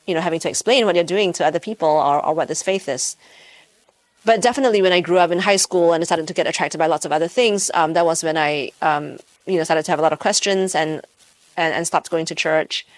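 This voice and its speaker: A woman's voice: sits low, brisk, no echo. A woman from Malaysia, a physics professor, answering interview questions thoughtfully, often restarting her sentences. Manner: thoughtful, many restarts and fillers.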